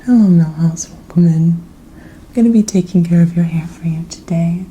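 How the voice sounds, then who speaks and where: A woman performing an ASMR personal-attention role-play, speaking in a gentle, soothing, attentive manner. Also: fairly low pitch, normal speed, rough recording.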